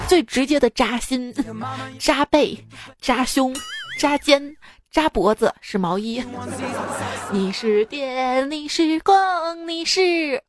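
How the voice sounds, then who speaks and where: A young woman hosts a radio joke segment in a playful, teasing voice, laughing at her own punchlines. Her voice sits a little high. A music bed runs clearly under her studio talk. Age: young adult. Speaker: radio joke show host. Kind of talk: reading jokes with laughs, casual radio talk. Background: background music.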